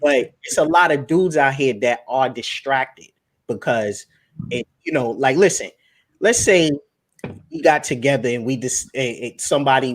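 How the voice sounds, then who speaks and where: A young man talking casually and emphatically on a livestream, repeating words as he makes his points. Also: mid-range voice. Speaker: guest speaker on finance.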